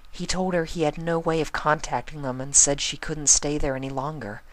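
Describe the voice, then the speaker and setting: A man voicing a shy, gentle young female pegasus pony in a soft, hushed, breathy voice for a cartoon fan story. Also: a little high, fairly even intonation, normal speed, natural voice. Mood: quiet, sad.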